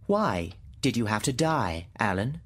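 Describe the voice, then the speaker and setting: An actor playing an emotionless thinking computer in a radio drama, with formal, literal, clipped phrasing. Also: male voice, lowish voice, sing-song, a little slow, a bit bright, breathy edge, exaggerated voice. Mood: impassive.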